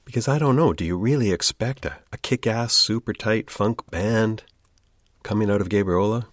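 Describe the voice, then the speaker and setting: A man's voice: lowish voice, moderate pace, no room sound, studio quality. A man hosting a podcast in a casual, chatty narration. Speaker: podcast host.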